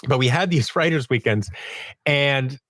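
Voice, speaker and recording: A man chatting casually as a podcast guest, telling stories in a jokey, animated way and acting out quotes as he goes. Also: medium pitch, measured pace, no room sound. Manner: animated, jokey, self-interrupting storyteller. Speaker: podcast guest, audio drama writer and producer. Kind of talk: casual interview chat with acted-out quotes.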